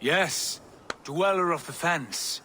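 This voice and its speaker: An actor playing a brave, kind-hearted god in a fantasy game, speaking in an earnest, measured, declaiming way. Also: male voice, fairly high pitch, animated, bright, forceful tone, slight background noise, exaggerated voice. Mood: grave, compassionate. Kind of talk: cinematic fantasy game acting.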